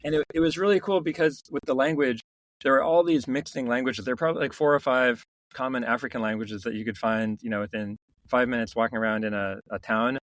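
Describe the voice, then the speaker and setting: A middle-aged man, a company founder, telling stories in a relaxed interview, reflective and full of restarts. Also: medium pitch, fast, dry recording, rough recording. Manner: reflective, many restarts and "you know" fillers. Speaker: founder and CEO, podcast guest. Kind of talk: casual interview storytelling.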